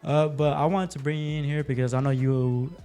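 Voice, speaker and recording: A man's voice: on the high side, steady tone, brisk, warm tone, faint music behind. A man hosting a podcast in an informal, conversational interview style. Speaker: podcast host. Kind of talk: casual interview chat.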